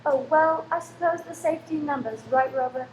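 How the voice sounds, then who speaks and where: A woman's voice: sits high, fairly quick, close to an everyday voice. A woman playing an anxious, protective wife and mother in a radio play, with an urgent, dramatic delivery. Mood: subdued, uneasy. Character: frantic wife and protective mother, fearing a killer. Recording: roomy, echoing, faint background noise.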